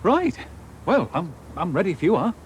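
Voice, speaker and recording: A man's voice: high-pitched, big pitch swings, normal speed, a little hiss or hum. A man playing an eager, cheerful amateur husband and customer in an instructional film. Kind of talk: scripted instructional film acting.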